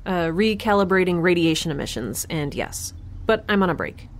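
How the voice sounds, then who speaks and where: A woman playing a wry, frazzled young scientist in an audio drama, chatty and sardonic with halting moments. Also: lowish voice, expressive. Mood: playful, awkward. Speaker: lead actor and creator of the podcast. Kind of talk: first-person audio drama, spoken log.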